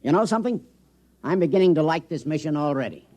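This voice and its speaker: A man's voice: fairly high pitch, animated, fast talker, darkish tone. An actor playing a folksy, wry spaceship communications man who loves his drink, in 1950s radio drama style. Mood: tipsy, jovial.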